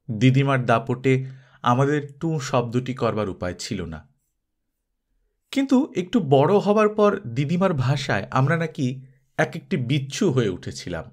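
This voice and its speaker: A man reading a children's storybook aloud, playfully speaking straight to his listeners with little asides. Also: mid-range voice. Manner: intimate, addresses listeners directly, playful asides.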